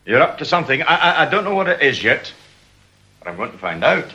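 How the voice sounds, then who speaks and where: English ex-copper, suspicious and sneering, forceful tone over faint hiss. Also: male voice, mid-range voice, very animated, fast talker, lo-fi sound, heavily acted. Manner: sardonic, needling, hesitant uhs. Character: suspicious, sneering male ex-police inspector turned security man. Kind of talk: British radio drama acting. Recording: faintly noisy radio drama recording.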